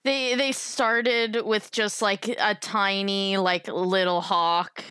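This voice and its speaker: A young woman chats casually as a podcast guest. Her voice sits at a middling pitch and her manner is playful and informal. It is recorded dry, with hardly any room sound. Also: fairly even intonation, takes it slowly, bright, forceful tone, clear, resonant tone, no echo. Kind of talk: casual chat.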